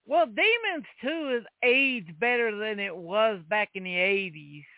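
A man's voice: high voice, expressive, a little slow, dry recording. A man co-hosting a casual podcast chat, giving brief replies and swearing bluntly. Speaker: co-host. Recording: tinny phone-like line, dry.

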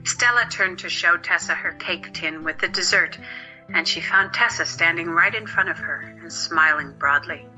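A woman's voice: lowish voice, normal speed, bright, forceful tone, faint music behind, low-quality recording. A woman narrating a scripted story as the narrator of an audio drama.

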